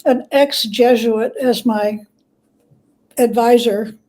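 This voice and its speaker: A woman speaking as an expert guest in an interview, telling stories in a plain-spoken, thoughtful, measured way. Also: on the high side, steady tone, dark tone. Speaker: guest, church historian and biographer. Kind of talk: conversational interview, storytelling.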